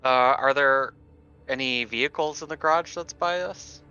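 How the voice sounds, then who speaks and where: Bright-voiced man, casual tabletop player. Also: mid-range voice, normal speed, highs cut off. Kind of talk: casual game table chat.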